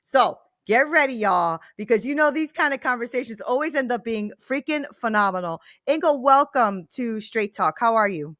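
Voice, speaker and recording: An enthusiastic woman interviewer speaks in a casual, gushing way, often checking in with "right?". Her voice sits fairly high. The recording is dry and close. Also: animated, normal speed, few pauses, fairly bright tone, no room sound. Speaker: podcast host.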